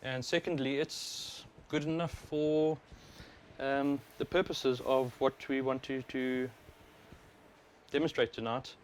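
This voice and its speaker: A South African man hosts a live stream in a casual, explanatory way. His voice sits at an average male pitch. He talks informally into a worn wireless mic, with some ambient noise behind him. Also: slow pace. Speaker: livestream host, overclocker. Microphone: wireless worn microphone. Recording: clear steady background noise.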